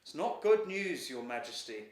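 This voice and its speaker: A man's voice: on the high side, very animated, normal speed, voice pushed up. Man playing a solemn guardian lion, roomy echo. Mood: solemn, deferential. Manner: deferential, formal, solemn. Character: loyal, dutiful male guardian lion servant of emperor. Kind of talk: storybook character dialogue. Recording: roomy echo, distant mic.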